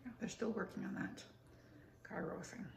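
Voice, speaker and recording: A woman in her sixties with a raspy voice, chatting casually to the camera at home in a rambling, matter-of-fact way while she snacks. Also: moderate pace. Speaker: vlogger. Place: living room at home. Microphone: camera close up on a table. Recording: roomy home room with faint hiss.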